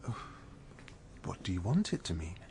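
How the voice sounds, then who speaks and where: An actor playing a well-to-do gentleman in a radio drama, with formal phrasing. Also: low voice, very animated, normal speed, gravelly, slight background noise, full character voice. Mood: polite, condescending.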